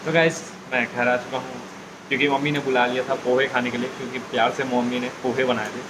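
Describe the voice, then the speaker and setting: A man's voice: medium pitch, little pitch movement, fast talker. A teenage boy vlogging outdoors on a phone held at arm's length, chatting casually to his viewers as he walks around. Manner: chatty, addressing viewers as guys. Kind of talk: casual vlog narration. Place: outdoors, streets and a stadium. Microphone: phone camera at arm's length. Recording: noisy outdoor phone recording.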